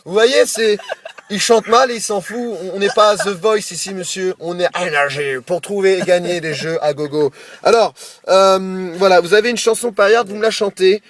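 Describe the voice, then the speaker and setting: A man's voice: sits high, normal speed. A man prank-calling as a radio game show host, with boisterous, pushy, improvised patter. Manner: boisterous, pushy, hyped-up exhortations.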